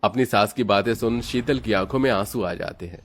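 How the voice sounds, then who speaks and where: A man's voice: on the low side, brisk. A man narrating a scripted moral story for children as its storyteller.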